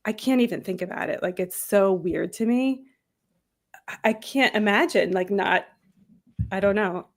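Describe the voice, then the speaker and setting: A woman's voice: mid-range voice, moderate pace, long pauses. A bubbly woman from New Jersey chats as a guest on a live show. She laughs easily and fills her talk with "like" as she rambles along. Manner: bubbly, laughs often, uses "like" a lot. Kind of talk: casual chat.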